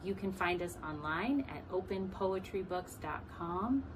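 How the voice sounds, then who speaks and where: A woman with a slightly low voice gives a promotional welcome as a shop owner. Clear ambient noise sits behind her words. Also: animated, unhurried. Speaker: bookstore owner giving a welcome. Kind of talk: promotional introduction.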